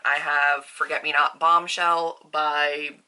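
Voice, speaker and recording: Low voiced woman, super bright sharp tone, chatty upbeat vlog talk, lots of likes and side comments. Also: flat delivery, slight background noise, low-quality recording. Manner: informal, upbeat, peppered with "like" and quick asides. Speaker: book vlogger and author. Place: indoors at a desk at home.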